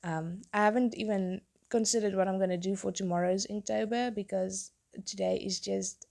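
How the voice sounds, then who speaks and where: A young woman talks through her sketch in a loose, rambling way, often breaking off mid-thought. Her voice is hoarse from a cold, with a gravelly, mellow tone. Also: medium pitch, fairly even intonation, normal speed. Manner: rambling, chatty, self-interrupting. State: losing her voice, sick. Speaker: tattoo artist and vlogger. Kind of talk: casual chat while drawing.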